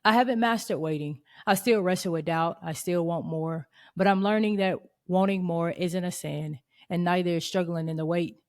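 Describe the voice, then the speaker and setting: Brief: woman, 30s, faith podcast host, earnest reflective delivery, low-ish voice. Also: fairly even intonation, normal speed, hardly any pauses.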